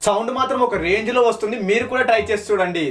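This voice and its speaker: A man's voice: high voice, racing, loud music behind the voice. A man narrating a scripted tech product review as a host, in a casual, playful way.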